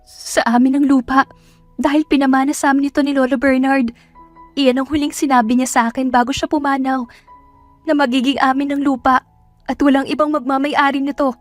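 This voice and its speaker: A woman's voice: sits high, little pitch movement, brisk, no echo, faint music behind, voice pushed up, close to an everyday voice. A woman voicing a distressed, defiant young provincial woman in a dramatic audio story, earnest and indignant. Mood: anxious, earnest. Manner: pleading, rising into angry outbursts.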